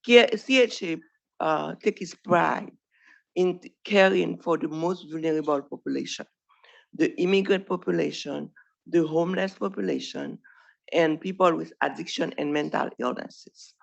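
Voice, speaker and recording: A woman's voice: low-pitched, moderate pace, creaky, dull audio. A woman from Haiti with a Haitian accent, a primary care doctor giving an earnest presentation to trainees. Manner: earnest, plain speech with small grammar slips. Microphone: podium microphone.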